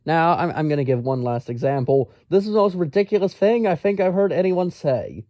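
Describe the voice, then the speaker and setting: A man telling a casual, rambling story in a pedantic, exasperated, halting manner. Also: on the high side, expressive, no room sound, lo-fi sound. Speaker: storyteller, amateur science enthusiast.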